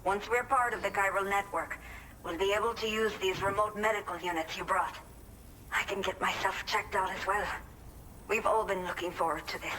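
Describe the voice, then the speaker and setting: A woman's voice: medium pitch, steady tone, moderate pace, bright, forceful tone, slight background noise, close to an everyday voice. A woman playing a grateful, earnest medic at a remote settlement in a video game, speaking politely. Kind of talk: scripted game cutscene dialogue. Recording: distant from mic, music behind.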